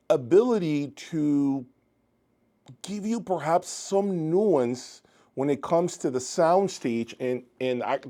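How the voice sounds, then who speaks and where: A man's voice: medium pitch, warm tone. A man reviewing audio speakers in an unscripted spoken review. He thinks aloud as he listens and often asks viewers to share their views. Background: music playing on the speakers.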